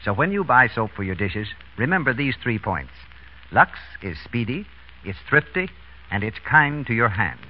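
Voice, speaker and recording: An American man works as a 1930s radio announcer and pitchman. His delivery swings widely in pitch, with a husky edge. The vintage broadcast sound is dull. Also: sing-song, normal speed.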